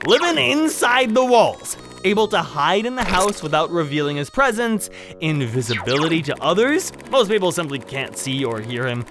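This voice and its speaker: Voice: medium pitch, very animated, moderate pace, noisy background. A host narrating a casual comedic explainer in a sarcastic, mock-dramatic way, swearing freely. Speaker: video host. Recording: background music under the voice. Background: music.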